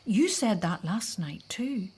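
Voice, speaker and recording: An actress in a scripted audio drama playing a frightened Hebrew mother, hesitant and often hushed as she talks to her husband. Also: mid-range voice, very animated, slow pace, husky, full character voice. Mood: frightened, pleading.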